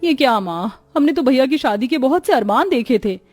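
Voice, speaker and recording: An indignant young sister played by a woman whines and meddles in a high, conspiratorial voice. It is melodramatic storybook dialogue with faint music behind. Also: animated, moderate pace, a little mellow. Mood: complaining.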